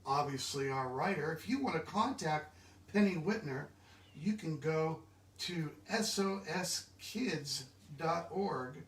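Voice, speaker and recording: A man giving an animated, expressive children's storybook read-aloud, engaging his listeners directly. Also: on the high side, slow pace. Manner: expressive, interactive, asks listeners questions. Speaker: children's book reader. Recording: echoey room, distant mic.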